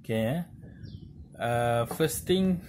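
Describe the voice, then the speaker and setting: A man with a Malaysian accent giving an informal hands-on tutorial, explaining step by step in a halting way with frequent check-in tags. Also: medium pitch, lively intonation, a little mellow. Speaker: presenter of a tutorial. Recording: clearly noisy amateur recording with clicks.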